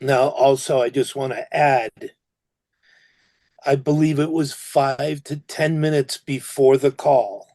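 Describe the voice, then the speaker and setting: Casual male podcast guest, medium pitch. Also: steady tone, slow pace, dark tone. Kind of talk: casual interview chat.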